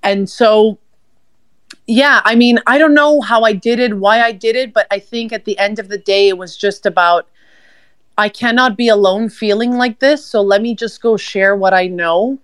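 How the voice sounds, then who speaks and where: A woman speaking in a casual live audio chat, telling her own story with animated asides and quick turns. Her voice sits in a middle range and sounds completely dry, with no room around it. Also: mid-range voice, clear, resonant tone, no echo. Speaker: guest speaker, life coach.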